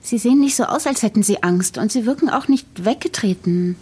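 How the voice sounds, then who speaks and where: Husky, mellow, pragmatic young mother. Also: on the high side, brisk. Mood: probing, patient. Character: tired, pragmatic young mother, reassuring yet probing friend. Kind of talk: naturalistic German audio drama acting.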